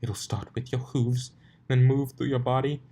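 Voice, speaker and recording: A man's voice: mid-range voice, fairly even intonation, moderate pace, warm tone, breathy edge, voice pushed up. A man voicing a prim, dramatic female unicorn in a cartoon story, prissy and theatrical in manner. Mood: mock grave.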